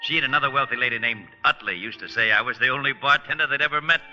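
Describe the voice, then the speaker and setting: An actor playing a talkative, informal hotel bartender in a 1940s radio drama. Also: male voice, medium pitch, rapid-fire, sharp tone. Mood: gossipy. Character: chatty, familiar male hotel bartender. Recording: muffled old radio recording with music.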